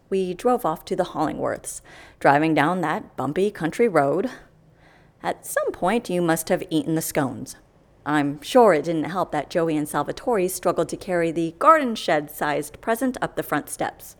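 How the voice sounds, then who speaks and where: A woman playing a wry, matter-of-fact colleague in an audio comedy, telling grisly details in a deadpan, teasing way. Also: fairly low pitch, normal speed. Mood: teasing, relishing. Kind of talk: audio comedy drama dialogue.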